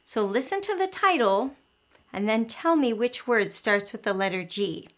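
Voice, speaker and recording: High, bright-voiced woman hosting children's storytime. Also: normal speed. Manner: animated, encouraging, simple explanations with questions to the child. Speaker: children's read-aloud host and teacher. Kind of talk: children's storytime, speaking directly to young listeners.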